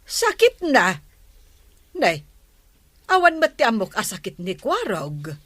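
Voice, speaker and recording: An actress with an Ilocano accent playing a concerned, excitable older village woman in a radio drama. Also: medium pitch, takes it slowly, no room sound, full character voice. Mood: surprised.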